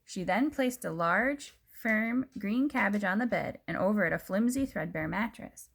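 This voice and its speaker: Female children's storyteller: expressive read-aloud, very lively pitch, touches of vocal fry. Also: moderate pace. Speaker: storyteller reading a picture book.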